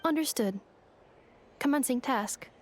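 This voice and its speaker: High-voiced, formal robot girl. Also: animated, unhurried, a little hiss or hum. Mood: obedient. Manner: formal, clipped, procedural. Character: polite female robot, literal and dutiful. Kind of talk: video game voice acting.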